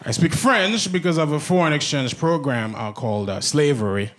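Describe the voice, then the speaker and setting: A man's voice: normal speed, noisy background. A young man from Haiti with a Haitian accent, whose first language is Haitian Creole, performing a conversational stand-up set on a club stage with a handheld mic. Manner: conversational, jumps into high-pitched impressions of others. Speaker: stand-up comedian. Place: comedy club stage before a live audience. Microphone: handheld stage mic on a stand cable. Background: faint audience laughter.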